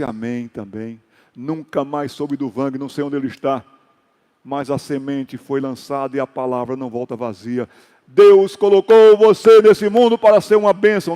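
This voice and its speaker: A male pastor telling stories in a sermon, acting out dialogue and rising into loud exhortations. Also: fairly high pitch, moderate pace, big-room sound. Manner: storytelling with acted dialogue and sudden loud exhortations. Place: church hall.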